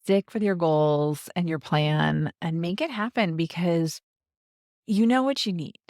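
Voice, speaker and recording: A woman's voice: on the low side, measured pace, a little mellow, no room sound, clean studio sound. A woman hosting a podcast, chatting upbeat and conversational with her guest. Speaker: podcast host. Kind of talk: casual interview chat.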